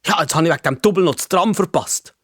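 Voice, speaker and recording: Man playing a grumpy high-pitched Swiss tram passenger. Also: fast, fairly bright tone, no echo, clean studio sound. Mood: irritated. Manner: scolding, exasperated. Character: grumpy, impatient male tram passenger on his phone.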